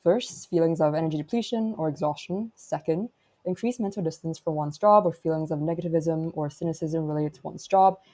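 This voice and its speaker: A woman's voice: low voice, brisk, mellow. A woman hosting a podcast, reading prepared questions and definitions. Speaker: podcast host. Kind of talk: scripted interview questions.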